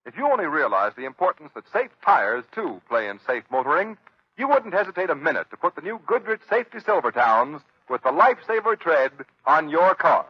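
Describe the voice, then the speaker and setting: A man announcing and reading a sponsor's pitch in emphatic, salesmanlike 1930s radio style. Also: sing-song, brisk, breathy edge. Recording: muffled 1930s radio broadcast recording.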